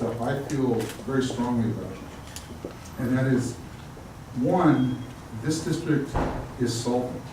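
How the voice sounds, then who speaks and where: A middle-aged man, a school board candidate, giving a halting forum statement with many fillers. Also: medium pitch. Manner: hesitant, many fillers and restarts. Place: outdoor park event. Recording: echoey, far from mic, noisy.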